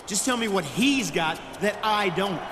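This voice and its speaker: A man's voice: high voice, expressive, measured pace, loud music behind the voice. An actor playing an obsessive, menacing wrestler who pesters a woman in a video game cutscene. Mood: menacing insistence. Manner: pushy, menacing. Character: obsessive, threatening male wrestler harassing a woman. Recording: loud noise over the voice.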